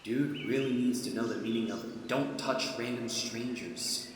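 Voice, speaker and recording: A man's voice: mid-range voice, fairly even intonation, a little slow, a little hiss or hum, lightly acted. A man voicing a disgruntled, sarcastic young furniture store employee in a casual, grumbling audiobook performance. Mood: wary grumbling. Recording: echoey hall with long reverb.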